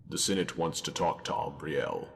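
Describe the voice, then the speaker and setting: A man voicing an ancient god-emperor returned in human form, speaking in a solemn, measured way in game dialogue. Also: lively intonation, fairly bright tone, full character voice. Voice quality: deep and gravelly. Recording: long hall reverb.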